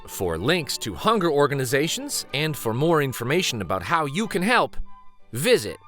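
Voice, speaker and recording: Very animated man reading a storybook aloud. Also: on the high side, faint music behind. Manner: deliberate, animated, emphatic phrasing. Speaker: host reading children's picture books aloud. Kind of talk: expressive storytime read-aloud narration.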